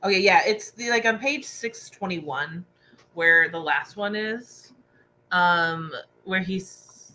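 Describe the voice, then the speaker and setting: A woman's voice: on the low side, takes it slowly, sharp tone, poor audio. A woman hosting a casual livestream book discussion over a video call, informally reading out viewer comments. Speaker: book club host. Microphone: internet video call.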